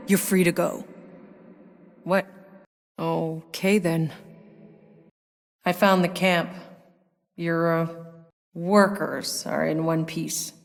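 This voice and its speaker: Game lead: battle-worn woman soldier, lowish pitch, sardonic, blunt, world-weary, naturalistic delivery. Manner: dry, blunt, clipped delivery. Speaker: video game player character. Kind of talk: naturalistic game character voice lines.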